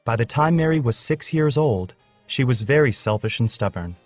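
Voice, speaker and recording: A man's voice: mid-range voice, expressive, normal speed, dry recording. A man narrates a scripted story for children. His voice sits in a middle range, and faint music plays beneath the reading.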